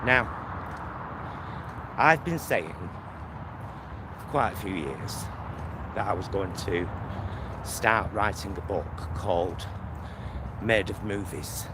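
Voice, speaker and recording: Man, slow rambling delivery, casual walking vlog, clear outdoor traffic noise. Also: low voice, animated. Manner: rambling, thinking aloud in short phrases. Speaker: vlogger. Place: outdoors on a walk near motorway and lake.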